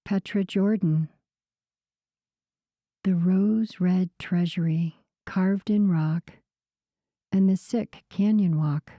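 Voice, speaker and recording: A woman guiding a sleep meditation in a soothing, unhurried way, with a soft voice that turns breathy at the ends of phrases. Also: lowish voice, fairly even intonation, no echo, dull audio. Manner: soothing, unhurried, gentle pauses. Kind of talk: slow guided sleep meditation narration.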